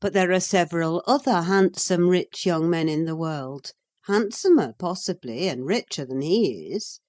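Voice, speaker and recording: A woman reading an audiobook, voicing a practical, cautious woman servant who talks in a measured, reproving way. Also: fairly low pitch, animated, darkish tone, natural voice. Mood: reasoning.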